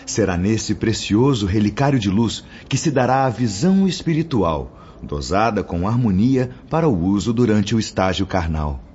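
An actor playing a solemn male spirit teacher in a radio drama, with a Brazilian accent and a reverent, measured, declamatory delivery. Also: fairly low pitch, very animated, darkish tone, slight background noise. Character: Brother Clemente, solemn male spirit teacher instructing pupils. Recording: dull lo-fi audio under music.

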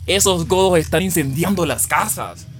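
A man's voice: on the high side, animated, moderate pace, faint music behind, close to an everyday voice. A man playing a determined, earthy rural husband in a radio drama, with a Colombian accent and emphatic country speech. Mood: urgent, alarmed.

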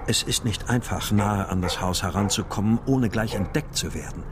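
A man's voice: on the low side, moderate pace, noisy background, close to an everyday voice. An actor playing a grim, determined father searching for his child, narrating and speaking tersely in a crime radio play. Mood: tense, restrained. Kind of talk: scripted first-person narration and dialogue in a crime audio drama. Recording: music behind the voice.

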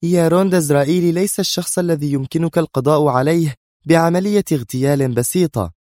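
A fierce female spy villain, acted out by a man in an audiobook thriller. The voice is pushed high and hard, stern and emphatic. It sounds domineering and quick to snap, in a close, dry recording. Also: warm tone, no echo, lightly acted. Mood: sharp, irritated.

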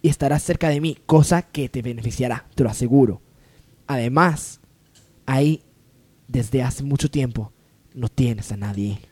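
A man's voice: mid-range voice, normal speed, pauses often, a little mellow, slightly rough, close to an everyday voice. A man playing a jealous, bossy rich woman in a parody radio soap, melodramatic and haughty. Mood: brisk, scheming.

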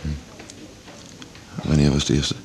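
Older pushy-but-fond colleague, super low gravelly voice, 80s radio play, noisy recording. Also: male voice, flat delivery, measured pace, darkish tone. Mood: apologetic, fond. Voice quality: deep gravelly voice. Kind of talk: 1980s Dutch radio drama acting.

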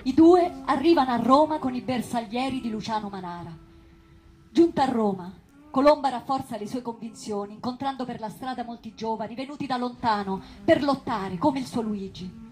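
A woman's voice: fairly high pitch, normal speed, darkish tone, soft background music, close to an everyday voice. A woman acting a passionate storyteller in a lyrical theatrical monologue about a tragic love. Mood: stirring. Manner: lyrical, impassioned.

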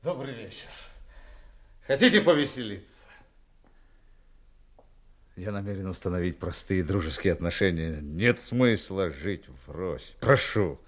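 An actor playing an imposing, menacing ship captain in an audio drama, with a weighty, deliberate delivery. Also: male voice, low-pitched, animated. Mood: forced joviality. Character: imposing ship captain, falsely friendly host turning menacing.

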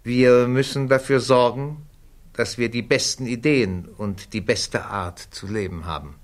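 An actor playing a principled, outspoken male witness in a radio play, speaking firmly, deliberately and formally. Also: medium pitch, lightly acted. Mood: earnest. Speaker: witness at a hearing. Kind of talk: German radio play acting.

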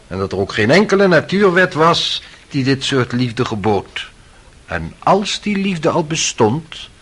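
An actor playing an urbane, mocking gentleman in a radio drama, speaking with ironic relish. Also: on the high side. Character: urbane, mocking society gentleman, needling a sceptic.

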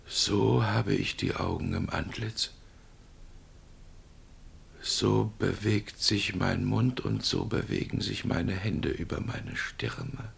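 An actor playing a frightened, indignant man woken at night who protests his innocence with a halting, trembling delivery, in 1960s German radio drama style. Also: lowish voice, lively intonation, vocal fry, slightly rough, full character voice. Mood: shaken.

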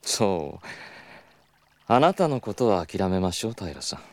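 Low-voiced, suave Kyoto schemer. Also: male voice, very animated, measured pace, darkish tone, no echo. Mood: smooth, persuasive. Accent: Kyoto Japanese. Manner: smooth polite speech slipping into teasing Kyoto dialect. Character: suave, stubborn club boss, flirtatious and scheming. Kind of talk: audio drama acting.

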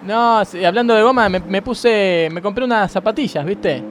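A man's voice: high voice, normal speed, natural voice. A man with an Argentine accent playing a bungling, grumbling emergency crewman in a radio comedy sketch, rambling and repeating himself over vehicle noise. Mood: grumbling, chatty. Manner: rambling, repetitive, colloquial. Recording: noisy city street and vehicle ambience.

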